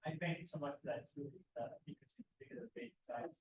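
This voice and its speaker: A man's voice: on the high side, huge pitch swings, quick pace, gravelly. A man asking a spontaneous question from the audience at a lecture. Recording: roomy, muffled, loud room noise.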